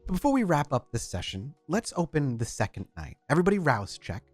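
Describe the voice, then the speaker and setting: Lively-voiced male storyteller. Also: fairly high pitch, fast, no echo, soft background music. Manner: lively narration with questions to players. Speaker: storyteller, game master. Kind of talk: narrating a tabletop game.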